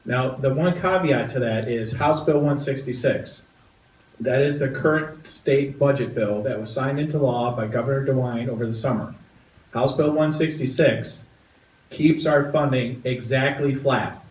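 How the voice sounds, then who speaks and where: A man at a community meeting giving a measured, factual explanation of school funding. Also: mid-range voice, darkish tone. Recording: roomy, distant, with faint noise.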